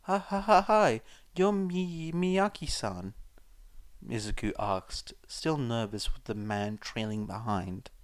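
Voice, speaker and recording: A man's voice: on the low side, dry recording. A man reading scripted fiction aloud as an audiobook-style narrator. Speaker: podfic narrator.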